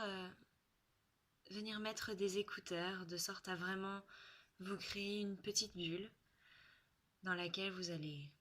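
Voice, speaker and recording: Slow, bright-voiced woman guiding relaxation. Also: medium pitch. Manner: soothing, unhurried, with long pauses. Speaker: yoga relaxation guide. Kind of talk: guided relaxation meditation.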